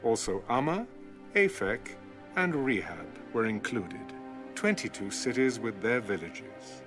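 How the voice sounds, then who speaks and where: A man reading Bible scripture as a scripted audiobook narration, measured and deliberate. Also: lowish voice, big pitch swings, over music.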